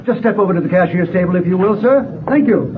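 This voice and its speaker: A man playing a brisk, formal auctioneer coaxing bidders with polished sales patter in a radio drama. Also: high-pitched, lightly acted. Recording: phone-like old radio recording, crowd murmur.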